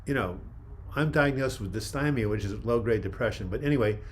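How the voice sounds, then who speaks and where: An older man hosting a casual live chat, reading viewer comments in a calm, soft-spoken way with frequent restarts. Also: mid-range voice, a little fast, slight background noise. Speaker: livestream host.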